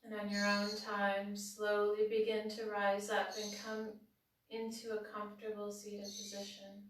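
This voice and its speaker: A woman leading a guided breathing meditation at the end of a yoga class, giving slow, soothing instructions. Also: medium pitch, completely flat delivery. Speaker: yoga teacher. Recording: very roomy, from far off.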